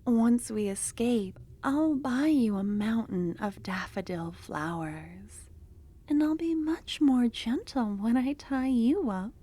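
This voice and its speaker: A woman voicing an obsessive, possessive female cultist lover in an ASMR roleplay, talking softly and slipping into whispers, tender yet menacing. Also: fairly high pitch, lively intonation, slow pace. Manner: soft-spoken, drifting into whispers.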